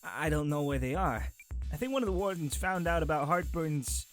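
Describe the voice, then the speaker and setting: A man's voice: fairly high pitch, animated, normal speed, natural voice. A man playing a talkative, informal crewman loyal to his space pirate captain in an audio roleplay. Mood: loyal, reporting. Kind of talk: ASMR roleplay audio drama acting. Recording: music under the voice.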